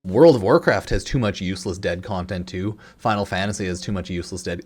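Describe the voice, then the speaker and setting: A man giving casual, rambling opinion commentary as a gaming content creator, informal and sweary, sometimes reading comments aloud. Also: on the low side. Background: music in the background.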